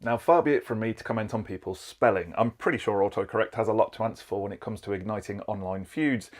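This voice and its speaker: Sardonic brit narrator, dry scripted jokes. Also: male voice, on the low side, quick pace. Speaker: segment narrator.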